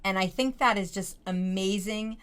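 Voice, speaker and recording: A woman's voice: medium pitch, normal speed, a bit bright, smooth. Encouraging woman podcast host. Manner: encouraging, frequent affirmations. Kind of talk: casual interview chat.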